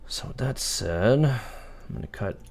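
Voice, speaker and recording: A man's voice: mid-range voice, expressive, slow pace, a little mellow. A man chatting casually on a live game stream, thinking aloud and explaining as he goes.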